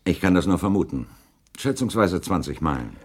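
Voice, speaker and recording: A curt, husky ship captain. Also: male voice, low-pitched, sing-song, normal speed, heavily acted. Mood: guarded. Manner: clipped, formal, defensive answers. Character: stiff, curt middle-aged male ship captain under questioning. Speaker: witness. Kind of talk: 1980s radio drama acting.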